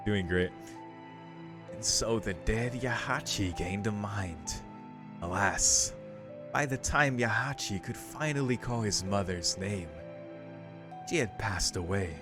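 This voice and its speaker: A man with a low voice hosts a visual novel let's play. He switches between casual commentary and reading the script aloud, with game music clearly playing behind him.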